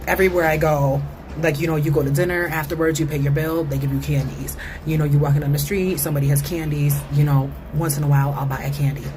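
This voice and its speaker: A woman's voice: low-pitched, noisy background. An American woman talking casually and informally, as a vlogger chatting to viewers in slangy, conversational speech. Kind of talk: casual chatty vlog monologue.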